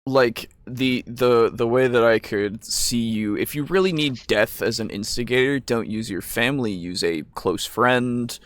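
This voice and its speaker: A man's voice: mid-range voice, measured pace, few pauses. A man co-hosting a podcast, talking casually in a discussion about tabletop gaming. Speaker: podcast co-host.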